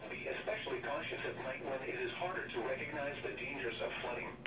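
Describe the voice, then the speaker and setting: A synthetic man's voice reads a scripted emergency weather alert in a flat, formal, measured way through a weather radio speaker. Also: medium pitch. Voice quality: synthetic text-to-speech voice. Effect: heard through a weather radio speaker. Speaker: automated weather radio announcer. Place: weather radios playing on a table in a small room. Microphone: camera picking up radio speakers. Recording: roomy, distant, noisy radio playback.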